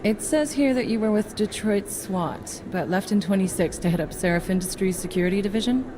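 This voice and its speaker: An actress playing a composed, straight-talking agency doctor in a video game, measured, dry and probing. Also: female voice, mid-range voice, noisy background, natural voice. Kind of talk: video game cutscene acting.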